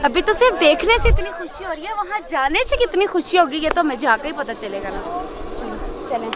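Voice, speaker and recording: Upbeat young woman vlogging on a hike. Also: high voice. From Pakistan. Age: young adult. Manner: chatty and upbeat, breathless at times from hiking. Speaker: travel vlogger. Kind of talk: casual travel vlog narration to camera. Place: outdoors on a forest hiking trail and hilltop meadow. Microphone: handheld camera held close.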